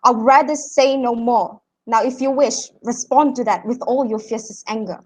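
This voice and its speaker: A stern, defiant seer of ancient Greece, heavy with grief played by a young female student. The voice is on the high side, delivered as in an amateur radio drama. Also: fairly even intonation, normal speed, a little mellow, close to an everyday voice, low-quality recording. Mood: grave, reluctant. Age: young adult. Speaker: student actor.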